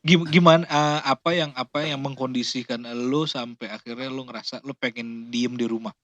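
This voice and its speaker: A man's voice: medium pitch, steady tone, normal speed, a bit bright, no room sound. A man hosting a podcast interview. He chats casually, exclaims often and laughs a lot. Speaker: podcast host.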